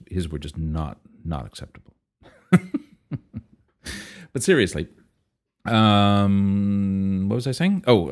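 A man hosting a casual solo podcast in a scratchy, husky voice, rambling and breaking off into asides. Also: deep voice, a little mellow. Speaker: podcast host. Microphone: podcast microphone.

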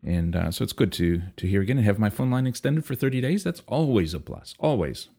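Deep, husky-voiced man chatting. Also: sing-song, a little fast, warm tone. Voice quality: scratchy, husky. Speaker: podcast host. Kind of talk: casual solo podcast chat. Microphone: podcast microphone.

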